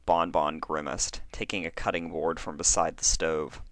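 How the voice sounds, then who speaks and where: A man's voice: a bass voice, flat delivery, moderate pace, a bit bright, no echo. A man introducing and narrating fan fiction as a podcast reader in an informal storytelling style.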